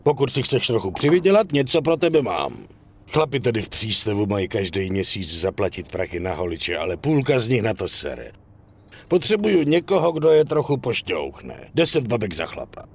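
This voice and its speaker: Swaggering union boss, animated. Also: male voice, on the low side, slightly rough, full character voice. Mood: persuasive, slick. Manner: bossy, blunt, swearing, talks down to others. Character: cynical, foul-mouthed middle-aged male dockworkers' union boss. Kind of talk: dubbed crime game cutscene acting.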